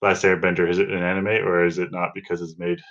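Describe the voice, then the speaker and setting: A man's voice: low voice, completely flat delivery, fast talker, fairly bright tone. A man co-hosting a podcast in casual, hesitant, noncommittal chat on a slightly lo-fi microphone. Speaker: podcast co-host. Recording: slightly dull, lo-fi microphone sound.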